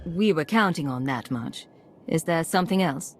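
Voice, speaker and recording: A composed female commander, firm and principled, with a slightly low voice. It is sci-fi game dialogue with a little background noise. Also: expressive, normal speed. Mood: composed, resolute. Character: composed, resolute female Imperial agent commander with a conscience. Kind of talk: video game voice acting.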